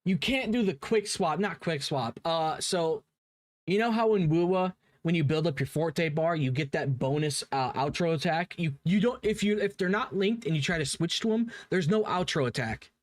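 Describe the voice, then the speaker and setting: A man's voice: fairly high pitch, normal speed, no room sound, studio quality. A man giving casual live commentary as a streamer, informal and emphatically repeating himself. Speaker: streamer reacting to a game video.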